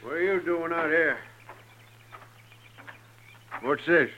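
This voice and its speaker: An actor playing a bitter, domineering American frontier father in a 1950s radio western, gruff and blunt. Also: fairly high pitch, slow pace, slight background noise. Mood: gruff, suspicious. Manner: gruff, blunt, folksy drawled grammar. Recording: dull old radio recording.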